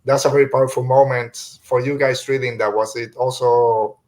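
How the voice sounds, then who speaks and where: A man's voice: medium pitch, fairly even intonation, normal speed, a little mellow, rough recording. A man hosting a casual podcast review, retelling things in an informal, rambling way. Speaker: podcast host.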